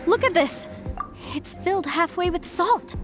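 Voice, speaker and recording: A woman's voice: extremely high pitch, expressive, a little slow. An actress voicing an earnest, principled young female archaeologist. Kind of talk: video game voice acting. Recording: game audio with faint music.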